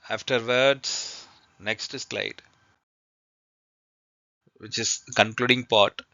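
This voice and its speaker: A man who is a non-native English speaker presents a slide lesson, partly reading, in a halting, hesitant way with repeated words. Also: lowish voice, fairly even intonation, pushed and bright, no room sound, lo-fi sound. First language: not English. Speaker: presenter of an aviation training lesson.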